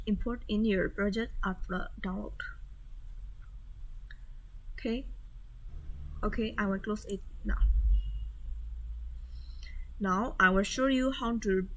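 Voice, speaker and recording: A woman talking through a step-by-step software tutorial over a screen recording, in a plain instructional manner. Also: medium pitch, rough recording.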